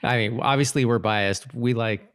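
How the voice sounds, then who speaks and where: A man's voice: mid-range voice, moderate pace, a bit bright, clear, resonant tone, dry recording, clean studio sound. A man co-hosting a podcast in casual conversation, rambling easily and correcting himself as he goes. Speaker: podcast host. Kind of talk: casual rewatch podcast chat.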